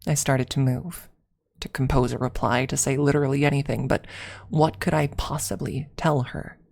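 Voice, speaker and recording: A woman reading a first-person story aloud as ASMR, softly and deliberately, with dramatic pauses and some near-whispered phrasing. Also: extremely low pitch, steady tone, creaky.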